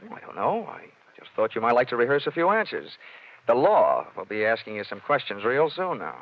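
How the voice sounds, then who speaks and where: Man acting hard-boiled investigator, American accent, medium-pitched, sardonic, wisecracking, 1940s radio drama, muffled vintage sound. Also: big pitch swings, fairly quick, natural voice. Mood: pressing, hard. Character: wry, tough male freelance insurance investigator, hard-boiled.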